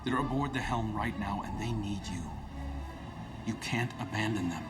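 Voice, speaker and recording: An actor playing a weary, compassionate commander in a video game, measured and sincere. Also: male voice, on the low side, lightly acted. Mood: earnest. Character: weary, earnest male commander, firm but compassionate. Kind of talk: dramatic video game acting. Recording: distant, under loud game music.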